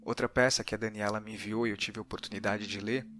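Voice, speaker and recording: A man's voice: on the low side, fairly even intonation, quick pace, fairly bright tone, slight background noise. A man with a Brazilian accent narrating a scripted podcast as its host.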